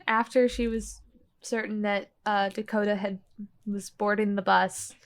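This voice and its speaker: A woman with a mid-range voice plays in a roleplaying podcast. She takes her time, casually improvising descriptions of her character's actions at a slow pace. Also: medium pitch, little pitch movement. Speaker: player in a tabletop roleplaying podcast.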